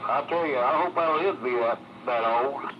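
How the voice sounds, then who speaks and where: Dull CB radio signal, clear static noise, man, Southern US accent, casual chat. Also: mid-range voice, normal speed. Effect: CB radio transmission. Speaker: CB radio operator.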